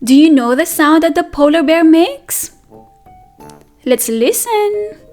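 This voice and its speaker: A high-voiced woman leads a children's read-aloud as a preschool teacher. Her delivery is slow and sing-song, with lots of questions and repeated phrases, and it swings widely in pitch. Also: animated, over loud music.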